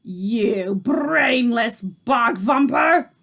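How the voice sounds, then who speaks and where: A woman reading aloud, voicing the tiny Grand High Witch, a furious and commanding female witch leader who shouts and speaks in odd broken grammar. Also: big pitch swings, slow, full character voice. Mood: enraged. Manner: shouting, shrieking, odd foreign-sounding grammar.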